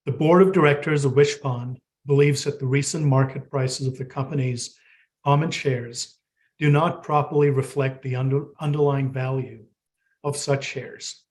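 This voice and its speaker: Male CFO for an earnings video call. Medium pitch, formal, scripted corporate read. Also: darkish tone. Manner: formal, reading a prepared statement. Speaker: chief financial officer.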